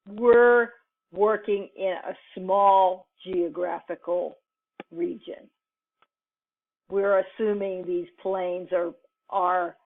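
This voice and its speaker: A woman teaching in an informal lecture style, explaining step by step in a halting, deliberate manner with pauses mid-sentence. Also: mid-range voice. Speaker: computing instructor. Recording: muffled, phone-like narrowband audio.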